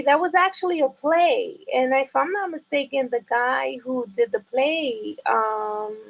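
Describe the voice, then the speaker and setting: A woman from New York speaks with a New York accent, her high voice husky with a gravelly edge. She chats as a guest on a video call, laughing often and explaining things at length. The call audio comes through dull and lo-fi. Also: no room sound. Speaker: interview guest. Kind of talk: casual video-call chat.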